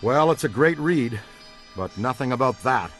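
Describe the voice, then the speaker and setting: An actor voicing an enthusiastic, curious middle-aged ghost-hunting scientist in a video game, with an American accent. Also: male voice, medium pitch, expressive, moderate pace, fairly bright tone, close to an everyday voice. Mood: thoughtful, focused. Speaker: paranormal investigator character. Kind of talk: acted video game dialogue. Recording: music behind the dialogue.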